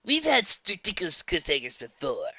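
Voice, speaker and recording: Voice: medium pitch, huge pitch swings, brisk, pushed and bright, slightly rough, no echo, voice pushed up, full character voice. An amateur reader voicing an exasperated, grumpy man complaining in a raised voice. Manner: exasperated, disgusted. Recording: dull lo-fi dry recording.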